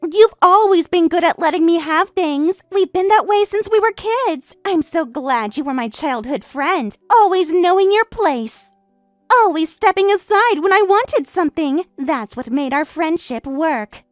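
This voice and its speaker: A woman's voice: very high voice, animated, measured pace, dry recording, soft background music. A woman voicing a smug, catty young woman who stole her best friend's husband, taunting and boastful in a voiced story drama.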